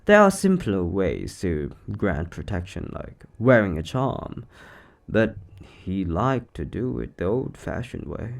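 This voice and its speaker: A man plays an eccentric occult shop owner in an audio roleplay, speaking straight to the listener. His voice sits a little low but swings widely up and down. He takes his time, stretching words playfully, breezy and offhand with something sinister underneath. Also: very animated, vocal fry, exaggerated voice. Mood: breezy, smug. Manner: chatty, offhand, playfully theatrical, drawn-out words. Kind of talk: scripted roleplay monologue to the listener.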